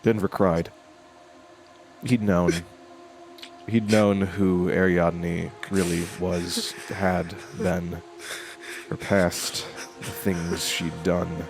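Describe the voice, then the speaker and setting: A man playing a brooding, haunted narrator in a horror audio drama, with a grave, halting and reflective delivery. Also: sits low, creaky, heavily acted, low-quality recording.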